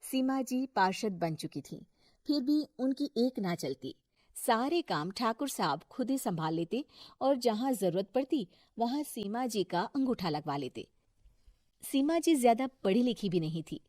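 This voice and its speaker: A woman's voice: fairly high pitch, sing-song, unhurried, darkish tone, dry recording. A woman giving scripted narration as the storyteller of a Hindi cartoon moral tale.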